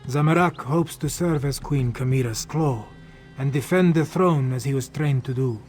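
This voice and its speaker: A man's voice: medium pitch, normal speed, a little mellow, faint music behind, lightly acted. A man voicing a humble, loyal cat-folk warrior in a fantasy game, speaking formally in the third person. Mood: earnest, steady.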